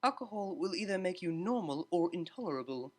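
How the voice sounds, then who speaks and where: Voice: mid-range voice, lively intonation, fairly quick, voice pushed up, natural voice. A narrator voicing a brash, sarcastic young female unicorn DJ who talks in a blunt, slangy way. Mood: defiant.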